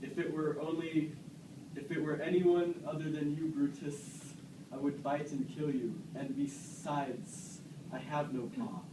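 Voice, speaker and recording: A man's voice: fairly high pitch, fairly even intonation, unhurried, close to an everyday voice. A man playing Cassius, a proud, aggrieved Roman soldier, in an amateur puppet-show parody of Shakespeare, with declamatory delivery. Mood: indignant. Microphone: distant camera microphone. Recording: echoey noisy room, far from mic.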